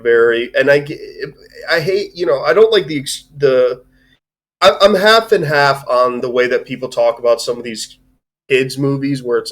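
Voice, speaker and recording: A man in a casual film discussion, speaking at a middling pitch. He rambles as he goes, stopping and restarting his thoughts, and swears freely. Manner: rambling, halting, often swearing. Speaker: movie review show host.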